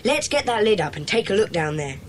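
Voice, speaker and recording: A woman's voice: mid-range voice, sing-song, a little fast, a little hiss or hum, lightly acted. A woman playing a confident, decisive schoolboy leader with a well-spoken British accent in a children's audio drama. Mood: intrigued.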